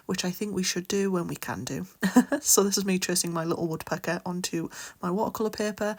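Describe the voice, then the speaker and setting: A woman chatting casually as an art vlogger at home, talking in a relaxed, rambling way into her camera. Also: lowish voice. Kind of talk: casual vlog chat. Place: at home indoors. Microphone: camera mic.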